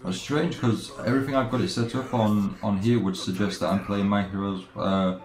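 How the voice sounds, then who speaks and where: A man streaming a video game, chatting casually and haltingly with viewers as he plays, often trailing off and restarting. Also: on the low side, steady tone. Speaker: let's play streamer. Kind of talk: casual live game commentary and chat with viewers. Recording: roomy echo, game music behind. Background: video game music.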